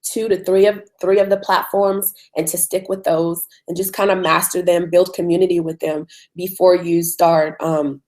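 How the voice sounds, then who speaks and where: A woman with a hoarse voice from a cold, hosting a live online webinar in a conversational way with frequent ums. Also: on the low side, level tone, normal speed, few pauses, a little mellow. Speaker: webinar host.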